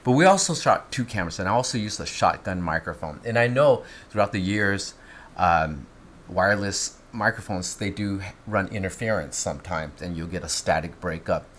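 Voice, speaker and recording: Guy with a lowish voice walking through his film gear, chatty and animated, faint background hiss. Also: normal speed. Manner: animated, explains step by step, teasing asides. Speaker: filmmaker explaining his gear. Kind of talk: casual instructional chat.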